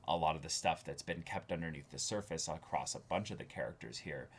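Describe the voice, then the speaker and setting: A man co-hosting a reaction show, talking casually in an earnest, reflective way with halting, repeated words. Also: extremely low pitch, husky. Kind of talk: casual discussion of an anime episode.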